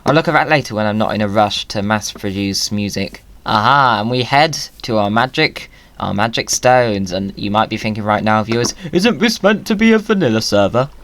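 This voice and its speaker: A man's voice: on the low side, normal speed, few pauses, a bit bright, no room sound. Whimsical British man doing gaming commentary. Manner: whimsical, chatty, exclaiming. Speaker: gaming video host. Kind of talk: playful gaming commentary to viewers.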